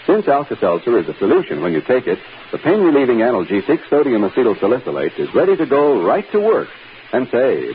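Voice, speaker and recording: Animated male announcer, thin vintage radio. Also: mid-range voice, narrow, tinny audio. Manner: polished, persuasive. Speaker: commercial announcer. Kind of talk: 1950s radio advertising read. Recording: thin, hissy old radio recording.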